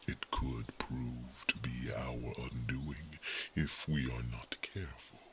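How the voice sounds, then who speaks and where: A man voicing an ancient, wise male guardian who is not human, in an ASMR roleplay. He whispers his grave, measured counsel softly. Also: rumbling low, fairly even intonation, soft background music, full character voice. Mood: solemn counsel.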